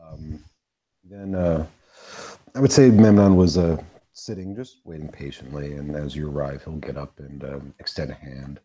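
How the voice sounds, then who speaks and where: A man's voice: a bass voice, normal speed, warm tone, breathy edge, lo-fi sound. A man narrating as game master in a tabletop game, hesitant and full of fillers.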